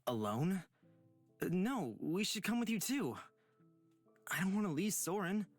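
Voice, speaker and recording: An actor voicing a gentle, hesitant young traveler in a visual novel game, speaking tentatively. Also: medium pitch, sing-song, dry recording. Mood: anxious, reluctant. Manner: halting, tentative. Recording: music under the voice.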